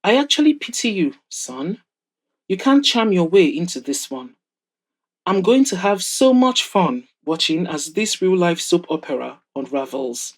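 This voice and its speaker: A woman's voice: fairly low pitch, very animated, a little slow, full character voice. A woman voicing a proud, wry, wealthy older mother of a grown son in an audio drama, teasing and frank as she advises. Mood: amused, warning.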